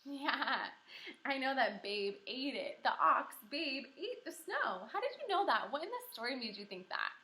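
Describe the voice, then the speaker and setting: A woman teaching kindergarten through a read-aloud lesson, encouraging and animated, asking questions and pausing for answers. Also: high-pitched. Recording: roomy echo.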